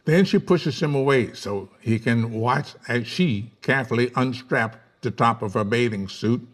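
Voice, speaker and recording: A man's voice: medium pitch, unhurried. A man reading out stage directions as narrator of a live script table read.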